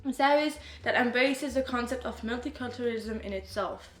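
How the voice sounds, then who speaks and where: A woman's voice: a little high, fairly quick, echoey room, faint music behind. A woman from France hosting a podcast, reading a scripted welcome and then chatting casually with guests. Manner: reads a bright scripted welcome, then asks questions with many "like"s. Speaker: podcast host.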